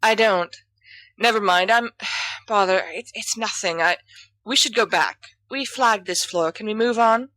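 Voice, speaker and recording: A woman voicing an anxious, irritable, bookish teenage schoolboy who talks in a halting, exasperated way. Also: medium pitch, bright, forceful tone, dry recording. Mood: flustered.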